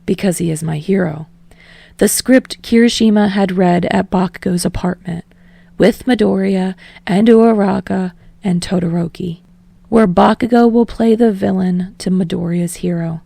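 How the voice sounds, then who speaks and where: Brief: female reader, calm story narration, slight fry, dry close sound. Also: mid-range voice, normal speed, no room sound. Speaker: podfic reader. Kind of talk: scripted narration of fan fiction.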